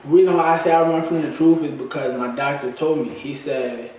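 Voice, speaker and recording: A man's voice: fairly high pitch, steady tone, brisk, a little mellow, slight background noise. Congested man in an echoey room. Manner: loose, slangy, restarts often. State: congested, dry throat. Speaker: vlogger. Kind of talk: casual rambling monologue. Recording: very echoey room, mic at a distance.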